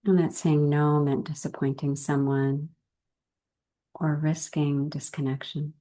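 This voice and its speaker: A woman leading a guided meditation in a gentle, unhurried way, with measured phrases and long pauses. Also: low voice, little pitch movement.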